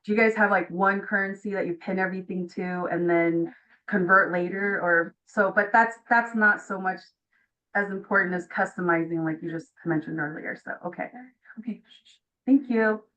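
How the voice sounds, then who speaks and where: A woman's voice: medium pitch, fairly even intonation, normal speed, a bit bright. A woman from Tonga asking a question on an online call in an enthusiastic, casual, informal way. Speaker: audience member asking a question. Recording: muffled online call audio.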